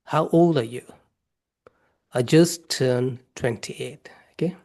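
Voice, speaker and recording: Gravelly-voiced man teaching English. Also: medium pitch, slow pace, darkish tone. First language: Karbi. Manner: repetitive, instructional, checking understanding. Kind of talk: spoken English drill for beginners.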